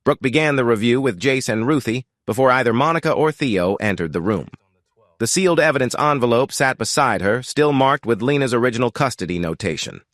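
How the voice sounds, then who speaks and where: A man giving scripted first-person story narration in an even, reflective way. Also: medium pitch, fairly quick, dry recording, clean studio sound.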